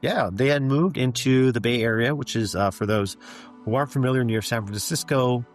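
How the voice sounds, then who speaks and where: A middle-aged Canadian man telling his life story as an interview guest, in a measured, reflective way with frequent "um" and "you know.". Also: medium pitch, faint music behind. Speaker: guest, physician executive.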